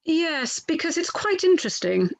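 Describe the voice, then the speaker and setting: A woman reading the part of an inquiry lawyer from a transcript, courteous and methodical, slightly halting. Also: very high voice, sing-song, vocal fry, dry recording, exaggerated voice. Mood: polite, methodical. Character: formal, polite inquiry lawyer questioning a witness.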